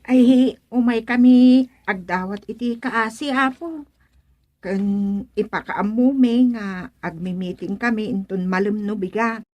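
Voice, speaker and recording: A woman's voice: medium pitch, unhurried, a little mellow, no room sound, natural voice. A woman acting a plaintive village petitioner in a radio comedy drama, with a Filipino accent. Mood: pleading.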